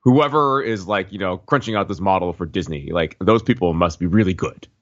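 A man's voice: sits low, fairly quick, no room sound, muffled recording. A man co-hosting a culture podcast, talking in a thoughtful, hedging way with lots of "like" and "right?". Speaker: podcast co-host. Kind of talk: casual conversational commentary.

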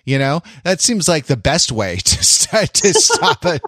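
Mid-pitched man, halting and wry. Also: huge pitch swings, a bit bright, no echo, clean studio sound. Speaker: podcast panelist. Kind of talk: casual chat.

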